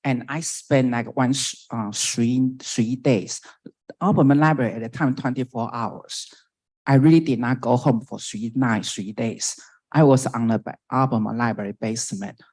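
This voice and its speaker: A woman in her sixties with a Taiwanese accent shares a memory at a memorial. She speaks haltingly, restarting her sentences as she hunts for the right words. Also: extremely low pitch, a little mellow, slightly rough. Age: 60s. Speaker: former doctoral student speaking at a memorial. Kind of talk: personal remembrance speech.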